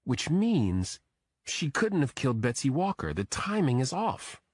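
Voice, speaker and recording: A man's voice: mid-range voice, big pitch swings, measured pace, darkish tone, no room sound, clean studio sound, voice pushed up. A man voicing a probing detective with a direct, questioning manner, in an audiobook. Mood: probing, firm. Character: probing male detective, contract consultant to the FBI. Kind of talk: audiobook character dialogue.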